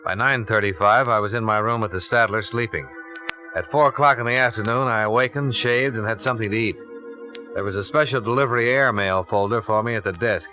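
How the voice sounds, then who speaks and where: Casting: cool, methodical male investigator, vintage radio drama, low-pitched forceful voice, matter-of-fact delivery, muffled old recording. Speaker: lead actor and narrator. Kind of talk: 1950s radio detective drama acting.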